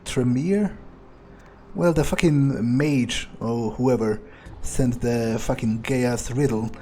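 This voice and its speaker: A man role-playing a ruthless, casually murderous vampire thinking aloud in improvised game commentary, musing, hesitant and foul-mouthed, with dark amusement. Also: mid-range voice, takes it slowly, slight background noise, close to an everyday voice. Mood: musing, darkly amused. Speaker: gaming streamer role-playing his character. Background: game music.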